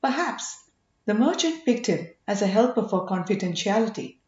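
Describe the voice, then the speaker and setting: Animated woman storyteller, roomy sound. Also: medium pitch, normal speed, lo-fi sound. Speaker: audiobook reader. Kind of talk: scripted storybook narration.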